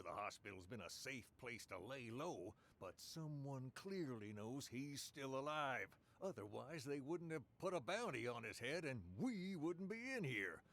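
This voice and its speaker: An actor playing a stern, calculating ringleader in a radio drama, theatrical and commanding. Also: male voice, mid-range voice, a bit bright, heavily acted. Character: bossy male scheming ringleader, stern and calculating.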